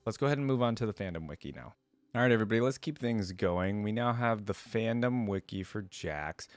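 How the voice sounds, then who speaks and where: A man with a fairly low voice, recorded dry and close to the mic. He hosts reaction commentary in a casual, conversational way, thinking aloud and asking his audience questions as he reads. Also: lively intonation, fairly quick, no room sound. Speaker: host of a reaction show.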